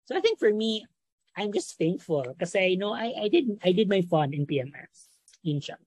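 A young man in his twenties from Bohol with a Filipino accent, a native Cebuano speaker, chatting reflectively as a podcast guest with pauses and restarts. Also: high-pitched, big pitch swings, darkish tone, husky, no echo. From Bohol, Philippines. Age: 20s. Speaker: podcast guest, medical student. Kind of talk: casual interview chat.

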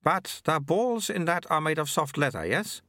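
A sly, kindly little old man, as a male narrator puts him on, chatting in a high, lilting storybook voice. He teases gently, with lively swoops, and the recording is close and dry. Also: normal speed, no echo, exaggerated voice. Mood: amused kindly. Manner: genial, gently teasing, high and bright.

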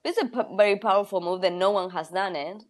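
A woman's voice: mid-range voice, fast. A woman in casual conversation who talks bluntly, swears freely, stops to search for words and laughs through her stories. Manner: laughs while telling stories. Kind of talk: casual chat.

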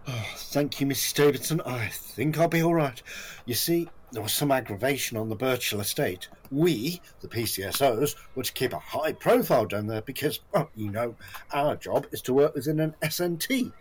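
An actor in a comic audio play voicing a hapless, self-important ex-community police officer who rambles, overshares and boasts chummily at a job interview. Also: male voice, mid-range voice, lively intonation, normal speed, husky. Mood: rambling, animated.

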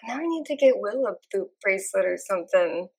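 A young woman co-hosting a podcast, chatting casually and gushing with animated energy. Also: medium pitch, husky. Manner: animated, gushing, quick laughs. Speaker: podcast co-host. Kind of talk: casual chat.

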